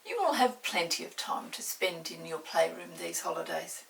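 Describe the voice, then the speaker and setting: A woman voicing a kindly, brisk mother of two schoolchildren in a storybook, speaking loudly and affectionately. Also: on the low side, big pitch swings, creaky, pitched below the performer's own voice, heavily acted. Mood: brisk, firm. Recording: hissy, distant room microphone.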